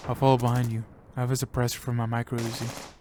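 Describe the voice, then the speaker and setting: A man's voice: medium pitch, completely flat delivery, fast, background noise, natural voice. A man voicing Ed, a young teammate who wisecracks and complains. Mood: joking.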